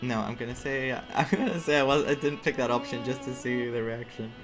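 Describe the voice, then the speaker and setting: A man giving informal, halting, reactive commentary as he plays through a video game. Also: medium pitch, music in the background. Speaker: gaming streamer. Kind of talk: casual playthrough commentary.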